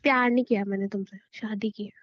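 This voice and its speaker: A woman's voice: mid-range voice, steady tone, brisk, dry recording, muffled recording, natural voice. A woman acting as a defiant, demanding young wife in a conversational audio drama, arguing in a stubborn, taunting way. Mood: cold, defiant. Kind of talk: acted Hindi relationship audio drama, conversational.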